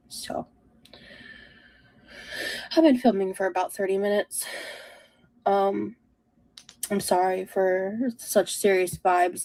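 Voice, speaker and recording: A woman speaking in a confessional vlog style, earnest and halting as she addresses her viewers. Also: mid-range voice, creaky, dark tone, no room sound. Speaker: vlogger.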